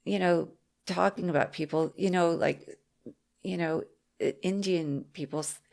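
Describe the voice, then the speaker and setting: A woman with a somewhat low, gravelly voice speaks as a guest therapist in a relaxed podcast chat. She is frank and firm about where she stands, with plenty of "you know"s and "um"s along the way. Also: unhurried. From Texas. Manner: frank and firm, with frequent fillers. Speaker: psychotherapist guest. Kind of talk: casual conversational podcast.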